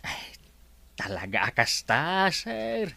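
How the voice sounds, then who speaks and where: An actress with an Ilocano accent playing a loyal female assistant who consoles her boss in a slow, sing-song way. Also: sits low, big pitch swings, creaky, bright, forceful tone, no echo, full character voice. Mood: consoling.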